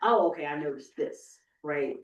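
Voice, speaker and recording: A woman's voice: deep voice, moderate pace, dull audio. A woman panelist thinking aloud in a panel discussion, often asking "right?". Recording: roomy hall, speaker far from mic.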